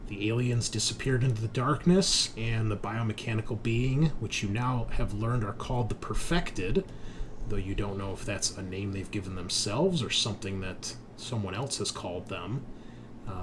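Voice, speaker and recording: A man's voice: fairly low pitch, moderate pace. Man game master with noisy background. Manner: informal, conversational storytelling. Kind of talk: recapping a tabletop game session.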